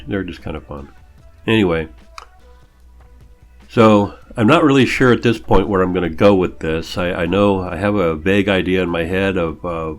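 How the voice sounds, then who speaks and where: A man giving a casual art demo voice-over, rambling and thinking aloud with hesitant phrasing as an artist talking about his own work. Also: deep voice. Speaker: artist narrating own drawing video.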